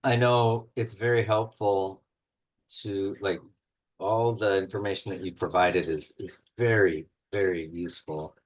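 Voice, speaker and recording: Man, very low voice, instructor on a panel, casual and thoughtful. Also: fairly even intonation, measured pace, low-quality recording. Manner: conversational, thoughtful, many fillers. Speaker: university instructor. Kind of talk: panel discussion.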